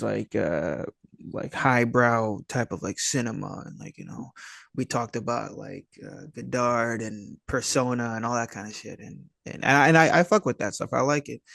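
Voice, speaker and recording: A very dry, close-sounding man with a mid-pitched voice, speaking as a guest in a casual interview. He rambles in a low-key, slangy way, feeling his way through each thought. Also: creaky, fairly bright tone, no echo. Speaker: interview guest, actor and filmmaker. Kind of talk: casual chat.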